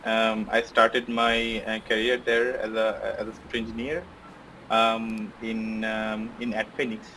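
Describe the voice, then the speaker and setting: A man's voice: lowish voice, completely flat delivery, measured pace, sharp tone, a little hiss or hum, low-quality recording. A man from Bangladesh, a podcast guest, answering interview questions in a casual, hesitant way with many fillers and restarts. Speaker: podcast guest, software engineer.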